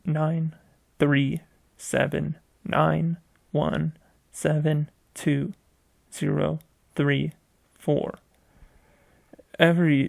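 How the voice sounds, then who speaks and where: A man's voice: on the high side, creaky, darkish tone, dry recording. A man reading a scripted story aloud as narrator, stumbling now and then and repeating phrases. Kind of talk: scripted narration of a video game mystery story.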